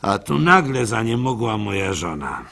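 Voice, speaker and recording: A man performing a first-person literary monologue for radio as narrator, in an expressive, folksy, conversational manner. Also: lowish voice, moderate pace. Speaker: narrator and storyteller.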